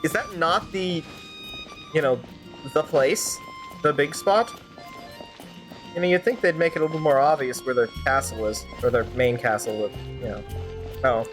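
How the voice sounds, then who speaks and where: A streamer giving casual, rambling game commentary in a mock-exasperated, animated way. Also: medium pitch, normal speed, long pauses, soft background music, low-quality recording. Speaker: streamer fan-dubbing a game live.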